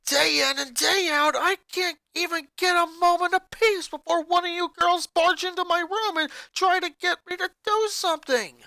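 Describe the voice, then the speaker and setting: A man's voice: very high voice, steady tone, moderate pace, gravelly, hardly any pauses, dry recording, exaggerated voice. A man voicing an angry eleven-year-old boy who rants and accuses his sisters in a fanfic reading. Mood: furious. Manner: ranting, accusing, voice rising to shouts.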